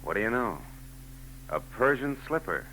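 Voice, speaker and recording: An actor with an American accent playing a world-weary, wisecracking private eye, narrating wryly in a 1940s radio noir drama. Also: male voice, medium pitch, big pitch swings, moderate pace, over music, close to an everyday voice, rough recording. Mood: probing, brisk. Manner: hard-boiled, wry. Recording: vintage 1940s radio recording.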